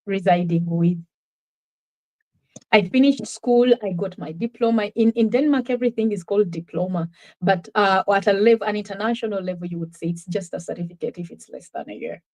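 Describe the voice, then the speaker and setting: An earnest Ugandan woman speaks in a middle-pitched voice, recounting her experiences in a reflective, conversational way. Her Ugandan accent colours the English as she answers an interviewer. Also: fairly quick, mellow, rough recording. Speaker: interview guest. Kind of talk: personal testimony in conversation.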